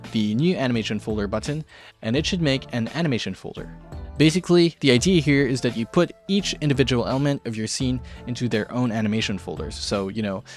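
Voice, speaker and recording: Chatty man narrating over background music. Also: medium pitch. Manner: chatty, informal, self-deprecating. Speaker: host of an art tutorial show. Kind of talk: casual narrated software and drawing tutorial.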